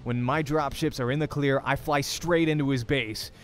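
A man's voice: mid-range voice, fairly even intonation, moderate pace, faint music behind. A young man hosting a let's play, reading a visual novel aloud in the first person with dramatic storytelling.

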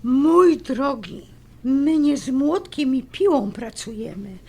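Sharp-tongued middle-aged wife: very high voice, swooping, indignant, nagging. Radio drama. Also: female voice, expressive, slow pace, full character voice. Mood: exasperated, scornful. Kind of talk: audio drama acting.

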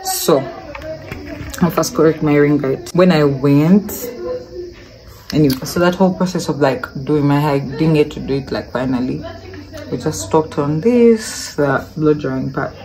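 A woman's voice: deep voice, unhurried, low-quality recording. A young woman in her twenties chatting casually into a phone camera, laughing now and then. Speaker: vlogger. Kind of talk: casual vlog narration. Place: campus cafeteria, salon, home room. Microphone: phone camera held at arm's length. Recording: loud music and crowd behind.

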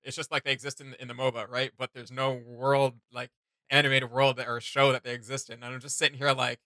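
A man's voice: medium pitch, little pitch movement, fast talker, sharp tone, no room sound, clean studio sound. A man in his thirties giving casual reaction commentary, rambling along with emphatic slang. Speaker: reaction channel host. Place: small indoor home studio room.

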